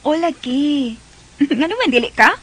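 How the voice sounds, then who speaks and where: A woman's voice: sits high, animated, unhurried. A woman with a Cebuano Filipino accent playing a shy, hesitant young woman being courted in a radio drama. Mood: teasing, tender. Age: young adult.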